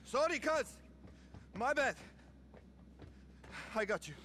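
A man's voice: extremely high pitch, animated, slow, sharp tone, a little hiss or hum, heavily acted. An actor playing an eager, apologetic young party-boy cousin in a video game, with a slangy, stammering delivery. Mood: sheepish. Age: young adult. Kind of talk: video game cutscene acting.

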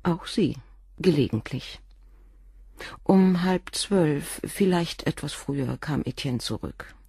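An actress in a German crime radio play as a guarded, protective professor's wife, speaking in a measured, formal, deliberate way. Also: female voice, low-pitched, lively intonation, creaky, warm tone, breathy edge, no echo, clean studio sound, exaggerated voice. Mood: guarded, insistent.

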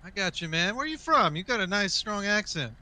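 A man's voice: high-pitched, very animated, fairly quick, pushed and bright. A man roleplaying a sheriff's deputy at a traffic stop, polite and businesslike, speaking over voice chat. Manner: polite, businesslike officer talk.

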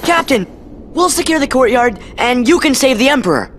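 Eager teen boy hero, high bouncy voice, loyal type, video game. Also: lively intonation, a little fast, fairly bright tone, a little hiss or hum. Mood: urgent, earnest. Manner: earnest, eager. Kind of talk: animated video game voice acting.

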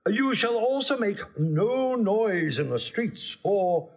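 A man's voice: sits high, lively intonation, takes it slowly, a little mellow, exaggerated voice. An actor playing a pompous, muddle-headed constable instructing his watch in a classical stage comedy style. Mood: pompous instruction. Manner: pompous, self-important, instructing.

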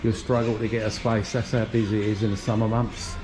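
A man giving a relaxed, chatty walking tour of an outdoor shopping plaza, talking while he walks with his camera. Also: lowish voice, brisk, music in the background. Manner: relaxed, chatty, pointing things out. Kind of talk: casual walking tour narration. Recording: noisy outdoor street recording.

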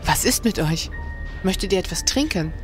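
A woman's voice: fairly low pitch, animated, moderate pace, over music, lightly acted. A woman playing an attentive, gossipy tavern landlady in a period crime radio play, with obliging, old-fashioned formal speech. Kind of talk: German period crime audio drama.

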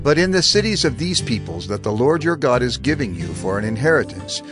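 A man narrating scripture in a measured, formal way for an audio drama. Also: mid-range voice, big pitch swings. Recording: studio narration over music.

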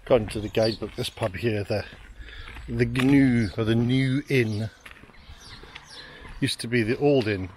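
A middle-aged man talks to his handheld camera while out walking. His pitch sits in the middle range for a man. He gives a casual, wry commentary with self-deprecating, hesitant asides. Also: unhurried, pauses often, a little mellow, a little hiss or hum. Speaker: vlogger, walking guide. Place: outdoors on village streets and country lanes. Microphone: handheld camera at arm's length.

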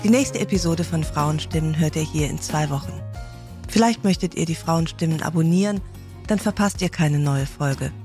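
A woman's voice: low-pitched, moderate pace, darkish tone, faint music behind. A woman in her fifties speaking conversationally as a podcast host. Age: 50s. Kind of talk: conversational interviewing.

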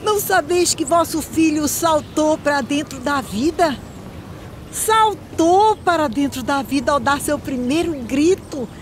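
High, slow-calling village woman. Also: expressive, slight background noise. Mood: insistent, heralding. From Brazil. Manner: drawn-out, declamatory calling. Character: skeletal village woman, urgent bearer of news. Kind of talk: animated verse drama, calling out. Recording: faint outdoor ambience behind the voice.

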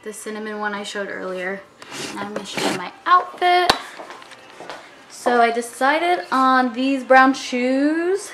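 A bubbly young woman talks straight into a handheld camera, her voice sitting a little high. She rambles cheerfully, with a faint hiss of background noise. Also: expressive, measured pace. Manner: chatty, upbeat, says "like" a lot. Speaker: vlogger. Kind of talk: casual vlog chat to camera. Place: home, car and gym.

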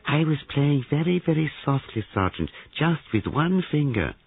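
A man playing a sly, smiling gentleman with soft, teasing phrasing in an audio mystery, on a narrow phone-like recording. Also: fairly high pitch, big pitch swings, normal speed, vocal fry, slightly rough, voice pushed up, full character voice. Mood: sly, amused. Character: smiling, sly, soft-spoken male piano player. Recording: narrow-band, phone-like radio sound.

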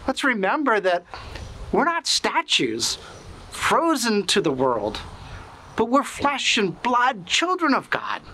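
A man's voice: very high-pitched, huge pitch swings, bright, forceful tone, a little hiss or hum. A middle-aged man, a priest, giving an earnest, reflective sermon outdoors on a sunny lawn. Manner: slow, earnest, reflective preaching.

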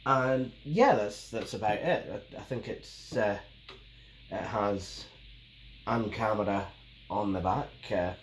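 A Scottish man in his thirties, nasal and hoarse from a cold, chatting casually as a tech host, rambling with many ums. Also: lowish voice, slow. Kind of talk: casual unboxing and review. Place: small home office, indoors. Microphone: camera mic at the desk. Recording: roomy, faintly noisy home recording.

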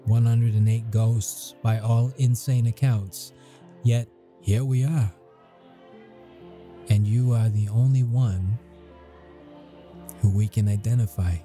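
Guy doing a grumpy but kind military intel officer questioning someone, low n slow, asmr roleplay. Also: fairly even intonation, dark tone, soft background music. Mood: formal, measured. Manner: measured, halting, deliberate delivery. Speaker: audio roleplay voice actor. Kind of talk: ASMR audio roleplay acting.

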